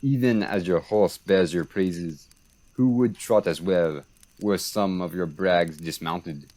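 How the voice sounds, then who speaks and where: A man's voice: low-pitched, slow pace, darkish tone, dry recording. A young man acting a sardonic French military commander in a Shakespeare radio play, with a dry, mocking delivery. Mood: dry needling. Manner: dry, wry.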